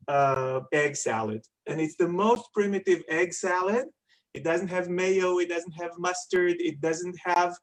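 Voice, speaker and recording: A man, telling stories in a lively, theatrical way and hosting a webinar over a video call. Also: high-pitched, rough recording. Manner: animated, theatrical storytelling with playful humor. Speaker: webinar moderator and chef. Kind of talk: casual storytelling on a video call.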